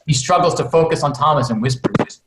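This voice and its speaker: A man's voice: on the high side, fairly quick. Man narrating a script, echoey noisy room. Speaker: narrator reading stage directions. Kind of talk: dramatic script narration with casual asides. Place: indoor room on remote video call. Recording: echoey room, clearly noisy video call.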